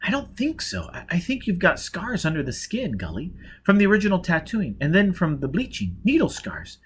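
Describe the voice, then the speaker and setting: A man's voice: high voice, wildly swooping pitch, normal speed, slight background noise, voice pushed up. A man voicing a frightened young woman who pleads urgently. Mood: pleading.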